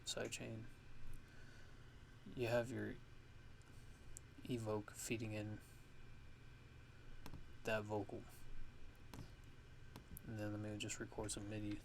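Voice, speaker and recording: A man with a fairly low, very flat voice walks through a casual software tutorial. He rambles and pauses as he thinks aloud, with some gravel in his tone. Clear noise sits behind him. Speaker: music production tutorial host.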